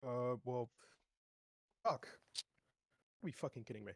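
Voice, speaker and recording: A man's voice: mid-range voice, measured pace, gravelly, dry recording, studio quality. A man playing a tabletop game, chatting casually and bluntly with plenty of swearing. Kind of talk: casual chat.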